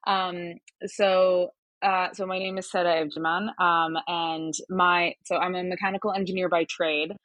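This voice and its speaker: A woman's voice: on the low side, little pitch movement, normal speed, a bit bright, dry recording. A young adult woman from Turkey who sounds American, talking casually as a podcast guest, with lots of ums and restarts. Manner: chatty, many fillers and restarts. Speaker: podcast guest, hardware startup advisor. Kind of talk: casual interview conversation.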